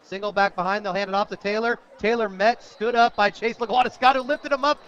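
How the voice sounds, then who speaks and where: High-pitched American man calling football. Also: steady tone, a little fast, a bit bright, clear, resonant tone. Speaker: radio play-by-play announcer. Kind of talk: live American football play-by-play commentary. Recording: dull lo-fi radio broadcast audio.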